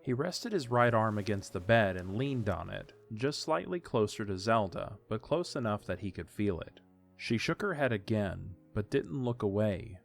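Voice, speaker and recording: A man's voice: fairly low pitch, animated, normal speed, gravelly. A man reading scripted story narration as the narrator of an audio drama. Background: faint music.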